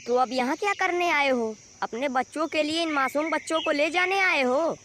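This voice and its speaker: A blunt forest bird who lectures others, voiced by a woman. The voice is high and admonishing, in cartoon style, over faint outdoor ambience. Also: moderate pace, natural voice. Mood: sharp reproach. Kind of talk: Hindi cartoon moral story dialogue. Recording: faint outdoor insect noise.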